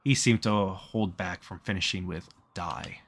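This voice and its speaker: Low-voiced man reading a story game aloud. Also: fairly even intonation, measured pace, fairly bright tone, slightly rough. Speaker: let's player reading game text aloud. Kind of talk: reading visual novel aloud with casual asides.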